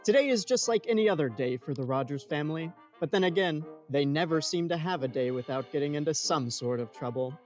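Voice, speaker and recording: A genial narrator, played by a man introduces a mock old-time radio sitcom. His voice is fairly high, with music playing behind it. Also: lively intonation, fairly quick, few pauses, a little mellow, natural voice. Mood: jolly.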